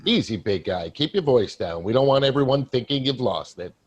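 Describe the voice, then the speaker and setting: A man in a staged play reading, playing a dead coworker's wry, weary ghost who speaks in a halting, reflective way. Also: medium pitch, expressive, muffled recording, close to an everyday voice. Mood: wry, sardonic. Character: apparition of dead male coworker, wry, weary, darkly joking.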